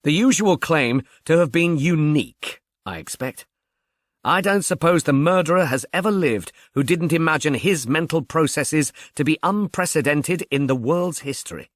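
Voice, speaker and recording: A man's voice: a little high, expressive, moderate pace, no echo, clean studio sound, pitched above the performer's own voice, lightly acted. A man voicing a wry, erudite amateur sleuth with detached, wordy phrasing, in audiobook dialogue.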